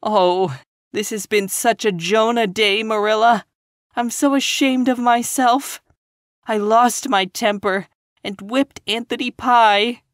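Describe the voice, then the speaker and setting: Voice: normal speed, a bit bright, no room sound, clean studio sound, close to an everyday voice. A reader voicing Anne, a tearful, impulsive young woman teacher who talks in breathless, earnest bursts. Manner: breathless, sobbing, high and earnest.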